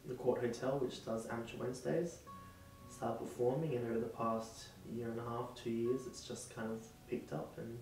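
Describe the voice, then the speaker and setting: A nineteen-year-old man, a drag performer, telling his story in an interview, pausing often with ums. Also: medium pitch, little pitch movement, darkish tone. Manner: hesitant, many ums. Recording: distant echoey mic with music. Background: guitar music.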